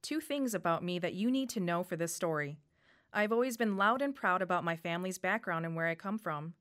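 A woman's voice: on the low side, fairly quick, fairly bright tone. A woman in her thirties from Wisconsin with a Wisconsin accent, reading a personal story for radio in a reflective way. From Wisconsin, USA. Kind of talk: scripted first-person narration. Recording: faint music behind voice.

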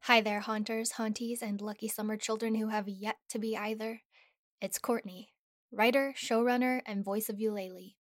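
A woman's voice: fairly high pitch, no echo. A woman who created the show, reading scripted podcast announcements in a playful, upbeat manner. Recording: dry, faint music behind.

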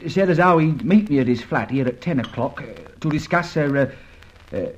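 A muffled old radio recording carries a man acting a Cockney ex-burglar sidekick. His talk rambles and stumbles deferentially through homely slang, swinging up and down in pitch. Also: expressive, a little fast, close to an everyday voice. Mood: hesitant, eager. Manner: rambling, hesitant, colloquial storytelling.

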